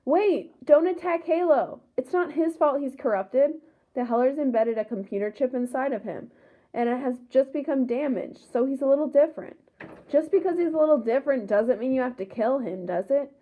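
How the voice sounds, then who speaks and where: Through a thin, phone-like recording comes a defiant freed slave and rebel leader , read by a woman. He speaks in a high, earnest storybook voice with rousing emphasis. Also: normal speed. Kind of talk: storybook read-aloud dialogue.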